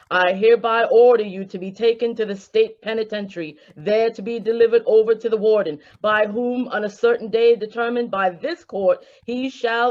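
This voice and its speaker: A woman acts as a stern judge in a radio play, her medium-pitched voice formal and solemn. She speaks with measured authority, and the sound is a little dull. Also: natural voice. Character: stern, formal court judge passing sentence. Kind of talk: old-time radio drama acting.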